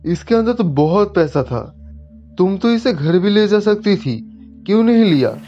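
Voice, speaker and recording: A man playing a kindly, grateful stranger in an animated moral story. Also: high voice, normal speed. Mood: curious. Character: grateful, kindly man who lost his bag. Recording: muffled audio with background music.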